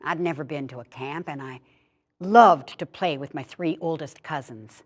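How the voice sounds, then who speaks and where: A woman reading a personal childhood memoir aloud in a measured storytelling way. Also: deep voice. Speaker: story reader.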